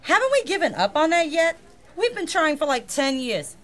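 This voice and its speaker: Casting: actress as short-tempered, sarcastic gang skeptic, high-pitched voice, snappy comedy radio delivery. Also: female voice, very animated, normal speed, fairly bright tone. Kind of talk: comedic sci-fi radio drama.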